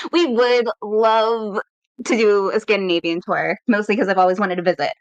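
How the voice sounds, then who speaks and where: A woman's voice: mid-range voice, unhurried, dry recording, lo-fi sound. A woman hosting a casual podcast, chatty and upbeat, laughing easily. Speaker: podcast host and editor. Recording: dry room, some static.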